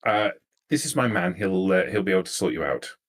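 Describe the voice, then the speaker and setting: Low-voiced man, hesitant game master. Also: expressive, brisk, fairly bright tone. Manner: hesitant, searching for words, repeating himself. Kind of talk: improvised narration of a tabletop roleplaying game.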